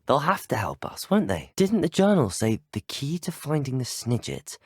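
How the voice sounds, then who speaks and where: A man's voice: mid-range voice, huge pitch swings, normal speed, breathy edge, dry recording, clean studio sound, exaggerated voice. A man voicing an earnest, polite young student wizard in a video game, with a British accent and courteous, articulate delivery. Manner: courteous, articulate, formal phrasing. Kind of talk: scripted video game dialogue, isolated voice clips.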